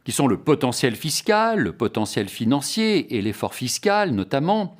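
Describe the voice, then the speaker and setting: A man presenting a formal, scripted explanatory lecture in a didactic, carefully structured way. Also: on the high side.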